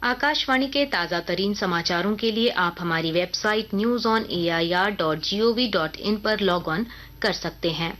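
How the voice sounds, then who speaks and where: A woman news reader delivering a scripted regional radio bulletin in a formal, measured announcing manner. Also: medium pitch, a bit bright.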